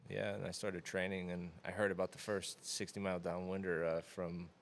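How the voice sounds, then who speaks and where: A man in his thirties from the Florida Keys, talking casually as an interview guest in a relaxed, rambling way with many fillers. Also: deep voice, fairly quick, vocal fry, slight background noise. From Florida Keys, USA. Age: 30s. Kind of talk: casual conversation.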